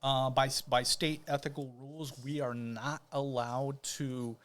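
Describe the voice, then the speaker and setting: A man's voice: mid-range voice, level tone, a little slow, fairly bright tone. A man talking casually as a guest on a podcast, easygoing and rambling, with plenty of fillers and restarts. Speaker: guest, judicial candidate.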